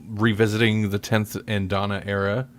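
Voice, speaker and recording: A man hosting a casual podcast chat, often halting and thinking aloud as he talks. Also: on the low side, level tone, slight background noise. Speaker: podcast host.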